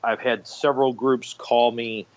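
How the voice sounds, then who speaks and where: A man's voice: mid-range voice, steady tone, unhurried, lo-fi sound. A middle-aged man telling anecdotes enthusiastically as an interview guest, in a conversational storytelling style. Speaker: guest, radio theater director.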